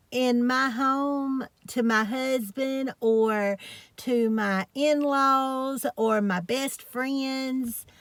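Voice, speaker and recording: Female vlogger, strong Southern country accent from South Georgia, leisurely folksy chat, higher-set voice, dry sound. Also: dry recording. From South Georgia, United States. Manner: folksy, chatty, rambling. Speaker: health and fitness vlogger. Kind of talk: casual chat to camera.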